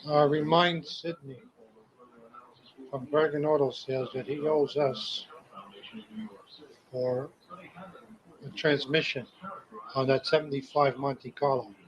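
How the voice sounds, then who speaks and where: Middle-aged male, mid-range voice, deliberate note-taking delivery, muffled old home tape with light noise. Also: mid-range voice, fairly even intonation. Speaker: car business owner recording spoken notes. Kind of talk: spoken memo to himself. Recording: dull, noisy old home cassette tape.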